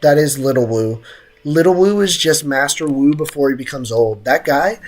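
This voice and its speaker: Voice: mid-range voice, normal speed. A game streamer chatting casually with viewers in a slangy, joking, mock-boastful way. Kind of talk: casual gaming livestream commentary, chatting with viewers.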